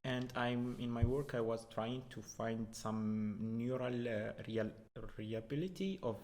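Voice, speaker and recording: A young man with a Moroccan accent, a native Arabic speaker, explaining his research in a halting, hesitant way as an interview guest. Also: medium pitch, a little mellow. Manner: hesitant, halting, with repeated words. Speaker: doctoral student guest.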